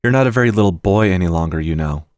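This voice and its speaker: Man reading as a guarded mother, gentle and evasive, voice raised a little, dry close mic. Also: sits low, expressive, quick pace, no echo.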